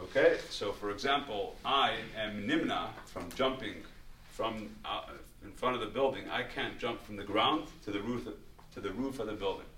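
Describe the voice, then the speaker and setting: A man's voice: on the low side, moderate pace, fairly bright tone, slight background noise. A man teaching a religious study class in an informal way, often repeating words and restarting his sentences as he explains. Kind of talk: informal religious lecture with questions.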